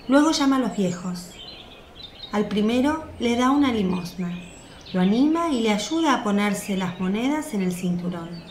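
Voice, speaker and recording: A woman's voice: medium pitch, lively intonation, normal speed. A woman reading scripted narration for a radio drama. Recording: roomy sound with faint noise. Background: birdsong, outdoor ambience.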